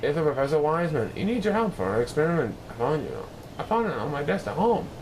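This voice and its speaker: Caring storybook friend read by a man: eager, earnest, fairly high voice, noisy background. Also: big pitch swings, fast talker, darkish tone. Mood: explaining, relieved. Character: caring man, a little monkey's loyal friend.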